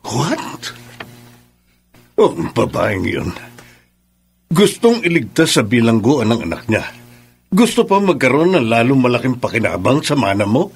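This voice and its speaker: Radio drama casting: protective, indignant attorney, mid-pitched husky voice, emphatic delivery. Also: male voice, very animated, unhurried, long pauses, heavily acted. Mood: shocked, indignant. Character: protective, indignant male lawyer avenging a client. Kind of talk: Filipino radio drama acting.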